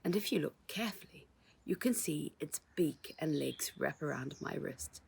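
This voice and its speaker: Woman playing an elegant, gushing, husky lady visitor. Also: low-pitched, lively intonation, moderate pace, heavily acted. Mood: warm, chatty. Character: elegant, gracious woman visitor, warm and chatty.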